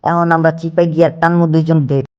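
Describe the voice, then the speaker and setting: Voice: mid-range voice, monotone, fast talker, clean tone, lo-fi sound, lightly acted. A voice actor playing a stingy, joking male bachelor who talks in rhymes. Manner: rhyming, joking banter. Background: cartoon background music.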